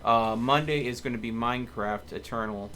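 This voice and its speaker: A man's voice: medium pitch, moderate pace, bright, forceful tone. Male game streamer, faint background noise. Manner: playful, joking asides. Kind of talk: casual gaming livestream chat.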